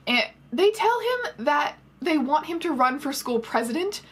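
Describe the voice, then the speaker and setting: A woman in her twenties giving sarcastic, animated commentary as a casual vlogger talking to camera. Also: high-pitched, measured pace. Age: 20s. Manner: sarcastic, animated storytelling with mocking quotes. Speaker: vlogger reviewing a book. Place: small bedroom, indoors.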